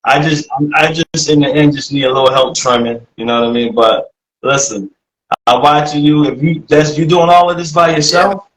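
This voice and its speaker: A man's voice: a little high, moderate pace, fairly bright tone, reverberant, low-quality recording. A man hosting a podcast, talking casually and easygoing, often repeating himself. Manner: easygoing, repeats "listen" and "you know what I mean". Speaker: podcast host.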